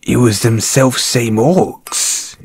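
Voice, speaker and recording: A man playing a kind, gruff dwarf blacksmith and former adventurer in a fantasy audio roleplay, speaking in a folksy, avuncular way. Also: medium pitch, slow pace. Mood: sympathetic, grim. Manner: hesitant, folksy, avuncular, addresses listener as lad. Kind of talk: fantasy audio roleplay acting, second-person dialogue.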